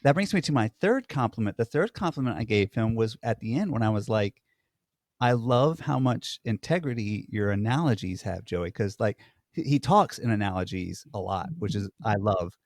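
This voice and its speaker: Low-voiced man, hesitant and reflective. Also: expressive, moderate pace, darkish tone, no room sound, studio quality. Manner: hesitant, self-questioning, restarts. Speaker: podcast co-host. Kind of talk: casual conversation.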